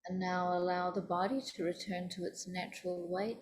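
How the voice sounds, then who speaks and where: A woman teaching yoga nidra, leading a guided meditation in a soothing, deliberate, instructive manner. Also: on the low side, little pitch movement, slight background noise.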